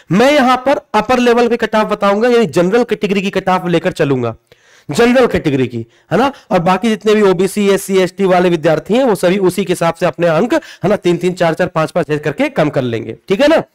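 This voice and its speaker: Male coaching teacher, high voice, informal explanation, emphatic, repetitive, frequent check-in tags. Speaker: exam coaching teacher presenting a video. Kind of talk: informal explanatory lesson to students.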